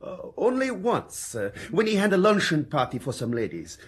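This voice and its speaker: Mournful French concierge, radio play: husky, very animated, thick with courtesy. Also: male voice, sits high, normal speed, exaggerated voice. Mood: polite, admiring. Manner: effusive, lamenting, slipping into French. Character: effusive, mournful French male concierge, loyal and polite. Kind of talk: British radio drama acting.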